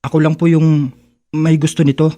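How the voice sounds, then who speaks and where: Radio drama best friend, played by an actor: young, loyal, polite, pleading, high-pitched but soft-toned male voice. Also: little pitch movement, moderate pace, close to an everyday voice. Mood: meek, pleading. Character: young, loyal, apologetic friend, respectful to elders. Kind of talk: Tagalog radio drama acting.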